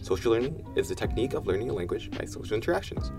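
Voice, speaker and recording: A man's voice: lowish voice, lively intonation, racing, music in the background. A man reading a scripted explainer about how children learn language.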